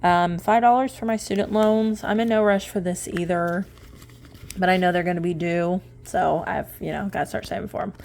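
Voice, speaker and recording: Chatty woman in her late twenties, lowish voice. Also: fairly even intonation, normal speed, gravelly, a little mellow. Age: late 20s. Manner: chatty, rambling, self-correcting, laughs at herself. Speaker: budgeting vlogger. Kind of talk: casual chat while stuffing cash envelopes.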